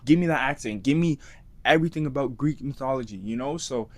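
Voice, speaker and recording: A man's voice: mid-range voice, quick pace. A man co-hosting a podcast, talking in an enthusiastic, rambling way with lots of fillers. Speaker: podcast co-host. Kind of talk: casual chat.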